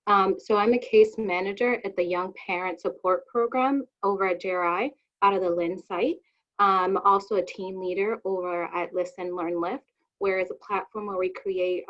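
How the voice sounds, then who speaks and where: A case manager born in the U.S. Virgin Islands introduces herself over a muffled video call. Her voice is somewhat low, and she talks in a relaxed way, starting sentences with "um, so". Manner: casual, with filler "um, so". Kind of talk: panel self-introduction.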